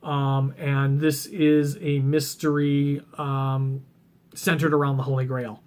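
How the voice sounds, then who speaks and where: A man chatting casually about books he plans to read, rambling with lots of ums and restarts, his voice getting rough and scratchy. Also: mid-range voice, level tone, slow, darkish tone. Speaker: book vlogger.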